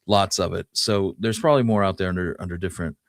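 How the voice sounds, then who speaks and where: Low-voiced man talking history. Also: steady tone, fast, no room sound, clean studio sound. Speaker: co-host of a Western history show. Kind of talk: casual conversational history discussion.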